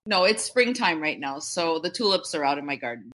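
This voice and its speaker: A woman in her fifties from Canada, talking over a video call, with a bright, forceful voice pitched a little low. Serbian was her first language, and she chats freely, laughing often and stumbling over a word now and then. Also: sing-song, a little fast. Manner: chatty, laughs often, restarts words. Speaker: podcast guest. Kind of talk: casual interview chat.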